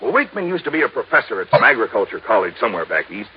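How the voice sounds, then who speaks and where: An American actor playing a folksy, talkative male insurance agent with a rough, husky voice in an old radio drama. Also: on the low side, very animated, rapid-fire, a little hiss or hum. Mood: earnest explaining. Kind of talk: 1950s radio drama acting. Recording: muffled vintage radio recording.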